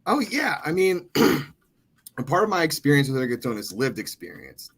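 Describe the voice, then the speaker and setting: A man speaking in a halting, meandering way as a music curator in a casual panel discussion. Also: fairly high pitch, big pitch swings, a bit bright, husky.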